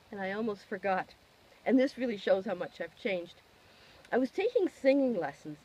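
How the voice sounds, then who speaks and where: A woman speaks in a mid-pitched voice with a husky edge. She delivers a first-person testimonial, stressing her points expressively as she recounts her own experience. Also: lo-fi sound. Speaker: client giving a testimonial.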